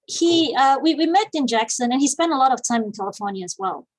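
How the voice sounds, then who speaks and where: A chatty woman in her forties, originally from Malaysia, talks as an interview guest with a fairly high voice. She laughs easily and restarts her sentences often. Her mic sounds close and dry, with no room around it. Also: lively intonation, quick pace, no room sound. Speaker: guest, business founder. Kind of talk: casual interview conversation.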